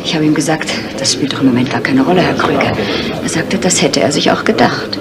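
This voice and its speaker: A resolute, guarded innkeeper's daughter in a seventies radio crime play. Her voice is fairly low. The old recording has clear noise. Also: brisk. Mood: tense. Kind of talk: 1970s German radio drama acting.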